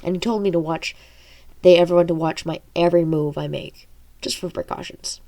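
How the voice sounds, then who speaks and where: A woman's voice: lowish voice, steady tone, fairly quick, warm tone. Congested woman reading her story aloud. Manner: informal, stumbling over words. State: congested nose from allergies. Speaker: amateur audiobook reader and author.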